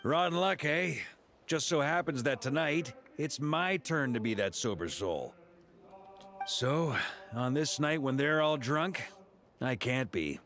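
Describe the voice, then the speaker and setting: An actor playing a wry, jovial, authoritative middle-aged knight leader in a video game. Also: male voice, mid-range voice, lively intonation, slow, a bit bright, faint music behind. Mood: musing, rueful.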